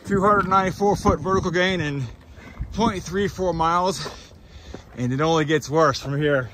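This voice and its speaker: A man's voice: fairly high pitch, moderate pace, a bit bright. A man narrating his climb up a desert mountain trail in an upbeat, chatty way, filming as he goes. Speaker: hiking vlogger. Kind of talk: casual hiking commentary. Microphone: handheld camera or phone. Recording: outdoor wind and ambient noise.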